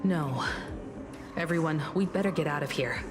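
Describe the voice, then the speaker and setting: A woman's voice: low voice, normal speed, lightly acted. An actress voicing an alert young woman sensing danger in a game. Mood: urgent. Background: game music.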